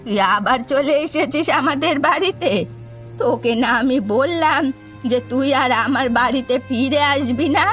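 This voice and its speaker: High-pitched stern old grandmother. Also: normal speed, fairly bright tone, faint music behind. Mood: angry scolding. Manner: scolding, reproachful. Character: stern elderly grandmother who runs a meat shop. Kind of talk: Bengali fairy-tale cartoon dubbing.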